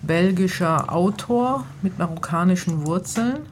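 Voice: mid-range voice, lively intonation, a little slow, slight background noise. A theater maker talking fluently in a radio studio interview and explaining the background of a play. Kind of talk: casual interview talk.

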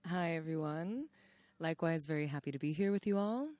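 A woman's voice: deep voice, a little fast, darkish tone, no room sound. A woman from the United States introducing herself on a panel, fluent and articulate. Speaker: panel speaker. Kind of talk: casual self-introduction.

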